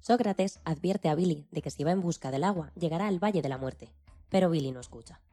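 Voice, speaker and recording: Low, mellow Spanish woman narrator. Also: a little fast. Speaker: radio programme co-host. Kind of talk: scripted storytelling narration.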